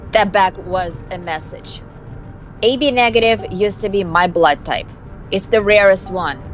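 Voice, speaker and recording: A woman's voice: mid-range voice, natural voice, rough recording. A woman voicing a flippant, scheming young vampire socialite in an amateur visual novel dub, with a snarky, theatrical delivery. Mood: wry, dismissive.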